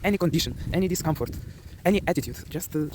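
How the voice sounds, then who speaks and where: Young Ukrainian-accented man, earnest and loose motivational vlogger; slightly higher voice, faint hiss underneath. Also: lively intonation, very fast. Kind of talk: motivational monologue.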